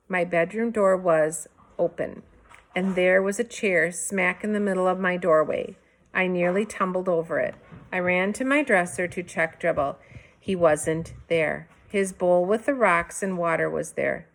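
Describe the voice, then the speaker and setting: A woman teacher reading a storybook aloud. Her voice sits a little low for a woman, and her narration is expressive.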